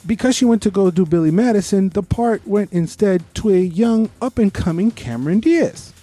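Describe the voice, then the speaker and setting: A man's voice: sits high, lively intonation, normal speed, warm tone, faint music behind. A man co-hosting a podcast, giving opinionated, informal review chat with bits of trivia. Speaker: podcast co-host.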